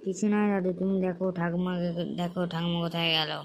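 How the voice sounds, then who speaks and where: Woman playing a cheeky, low-voiced village boy. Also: little pitch movement, quick pace, natural voice. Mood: sly, goading. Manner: wheedling, mock-innocent. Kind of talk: amateur comic cartoon dialogue. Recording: faintly noisy amateur recording.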